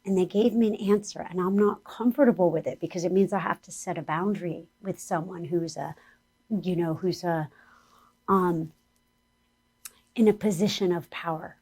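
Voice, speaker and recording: A woman with a hoarse voice leading a gentle, earnest guided spiritual exercise as a spiritual teacher. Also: on the low side, steady tone, poor audio. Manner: slow, gentle and soothing guidance; earnest, speaks about Spirit and angels.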